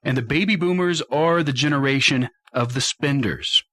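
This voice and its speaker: A middle-aged American man hosting a talk radio show, speaking straight to listeners with deliberate, emphatic phrasing. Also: medium pitch, fairly bright tone. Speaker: radio show host and financial advisor.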